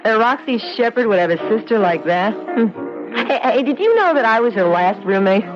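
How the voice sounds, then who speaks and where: A woman's voice: a little high, lively intonation, moderate pace, natural voice. An actress playing a flippant young American city woman in a 1970s radio drama, chatty, slangy and offhand. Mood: flippant, gossipy. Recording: dull old radio recording with music.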